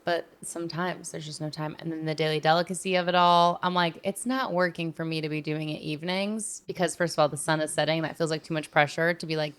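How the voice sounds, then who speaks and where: A woman co-hosting a podcast, chatting in an informal, slangy way and thinking out loud as she talks. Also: deep voice, steady tone, fast, hardly any pauses, clean tone. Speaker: podcast co-host. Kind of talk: casual podcast chat.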